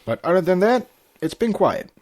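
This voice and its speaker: A man's voice: high-pitched, very animated, normal speed, darkish tone, pitched above the performer's own voice. A male pony guard as a man reads him gives reports plainly. He is businesslike and matter-of-fact, a minor storybook soldier.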